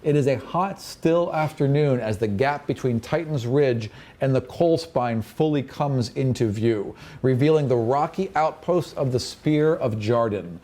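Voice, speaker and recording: A man's voice: mid-range voice, mellow. A man narrating a tabletop fantasy game as game master, moving between descriptive scene-setting and casual asides.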